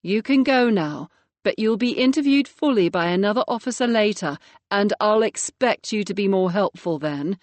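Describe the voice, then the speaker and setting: Woman voicing a brisk commanding detective. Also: mid-range voice, expressive, a little mellow, no room sound, close to an everyday voice. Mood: firm. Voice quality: crisp, authoritative. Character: brisk female police detective, firm and commanding.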